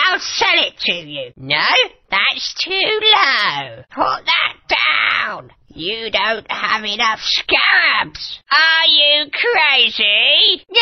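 An actor playing a greedy, scheming game shopkeeper who pushes deals and snaps at cheapskates, on muffled lo-fi game audio. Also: male voice, extremely high pitch, exaggerated voice. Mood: gruff. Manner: wheedling salesman patter, snaps at cheapskates.

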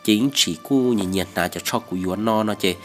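A man giving a relaxed, conversational vlog narration. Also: lowish voice, fast talker, soft background music. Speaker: vlogger.

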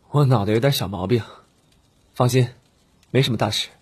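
A man's voice: mid-range voice, slow, a little mellow, slightly rough, pitched above the performer's own voice. A man voicing a weary, frank young wandering cultivator who speaks firmly and plainly in an audiobook. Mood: grateful, reassuring. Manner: plain-spoken, firm.

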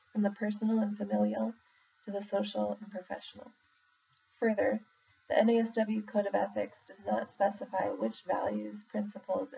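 A woman reading a formal document aloud in a measured, deliberate way, pausing between phrases. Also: mid-range voice, vocal fry, warm tone. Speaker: reader, social worker in exam preparation. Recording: muffled, distant mic with faint background noise.

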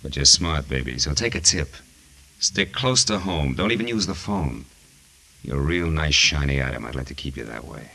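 An American actor playing a sardonic, hard-boiled private detective in 1940s radio noir, with clipped, wisecracking delivery. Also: male voice, extremely low pitch, expressive, normal speed, gravelly, sharp tone, exaggerated voice. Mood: wry, cool. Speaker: lead actor and narrator. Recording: noisy vintage 1940s radio recording.

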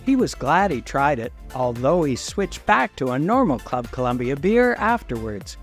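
A man's voice: medium pitch, big pitch swings, moderate pace, soft background music. Upbeat man narrating a travel tour. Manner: upbeat descriptive tour-guide reading. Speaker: travel vlog co-host. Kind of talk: scripted voice-over narration.

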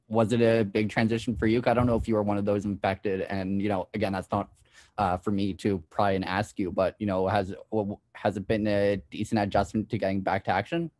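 A man's voice: fairly low pitch, little pitch movement, moderate pace, darkish tone, no echo. A man asking a reporter's question at a press conference, polite and rambling with many hedges.

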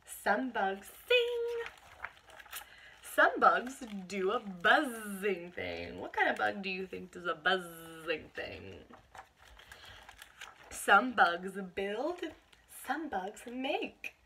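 A young woman reads a picture book aloud for children in a bright voice. Her delivery is slow and very animated, as a teacher's would be. It is a home recording in a small bedroom, with slight background noise. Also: mid-range voice, gravelly. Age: 20s. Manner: expressive, addressing young children with questions.

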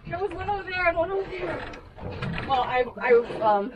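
Very high, excitable woman on a noisy boat. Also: normal speed, lo-fi sound. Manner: exclaiming, excitable. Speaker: fishing vlogger. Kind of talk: casual excited chat while fishing. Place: outdoors on small open boat at sea. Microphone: action camera mounted on boat, at a distance. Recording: noisy boat engine, wind and water.

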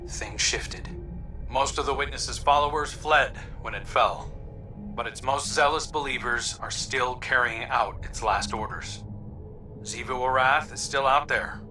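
An earnest game scout delivering a sober report. His mid-pitched voice is measured and serious. It sits a little away from the mic, with clear music behind it. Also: pushed and bright, recorded from a distance. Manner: measured, grave, deliberate reporting. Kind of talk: scripted video game dialogue.

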